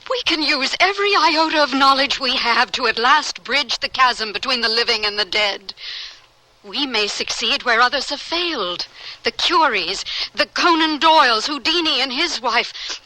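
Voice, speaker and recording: A woman's voice: sits high, animated, moderate pace, sharp tone, poor audio. An actress playing a dying woman scientist and devoted wife, intense and declamatory in old-time radio drama style. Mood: impassioned.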